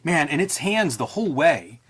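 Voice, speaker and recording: A man's voice: a little high, big pitch swings, moderate pace, fairly bright tone, breathy edge. A man co-hosting a review show, thinking aloud in casual, conversational analysis. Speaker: co-host of a comics review show.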